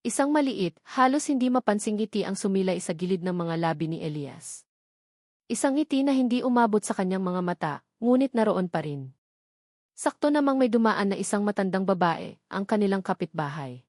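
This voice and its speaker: A woman reading a scripted dramatic story in measured, deliberate short phrases. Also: mid-range voice, expressive, a little mellow, dry recording, studio quality. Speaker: audiobook narrator.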